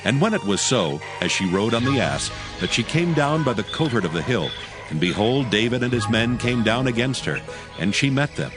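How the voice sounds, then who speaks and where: A man's voice: medium pitch, big pitch swings, normal speed. A man narrating scripture as a scripted dramatic reading. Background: music.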